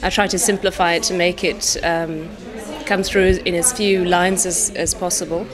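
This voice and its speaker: A woman's voice: lowish voice, flat delivery, moderate pace, a crowd in the background. A woman painter talking hesitantly about her work, stressing key words. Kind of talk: interview answers. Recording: music playing underneath.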